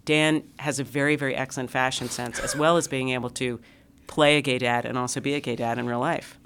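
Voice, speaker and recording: A woman's voice: very deep voice, steady tone, a little fast, a bit bright, slight background noise. A woman hosting a podcast, nasal from a cold, bubbly and gushing with superlatives. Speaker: podcast host. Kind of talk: casual podcast chat.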